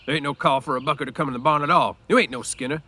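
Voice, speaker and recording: Storybook role: aloof, embittered stable worker, performed by a male reader, raised pitch, sharp, complaining rural delivery. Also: rapid-fire, slightly rough. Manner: sharp, complaining, defensive, folksy rural speech. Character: proud, aloof, bitter stable hand with crooked back. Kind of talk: storybook read-aloud character voice.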